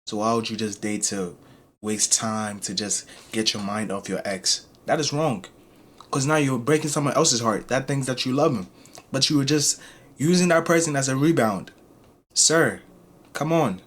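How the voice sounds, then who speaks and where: A man's voice: medium pitch, normal speed, a bit bright. A man on a podcast scolding with rhetorical questions. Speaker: podcast guest. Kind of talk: heated podcast debate.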